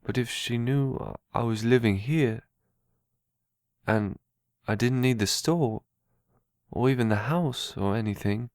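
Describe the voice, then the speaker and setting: A man playing a simple-minded, earnest young man in an amateur audio drama, eager and anxious, with halting, childlike phrasing. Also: mid-range voice, a little mellow, no echo, studio quality.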